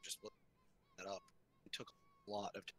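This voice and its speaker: A man's voice: on the low side, wildly swooping pitch, moderate pace, a bit bright. A young man chatting casually and telling personal stories while playing an online game. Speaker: player in an online game session. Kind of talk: casual chat and storytelling while gaming. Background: music.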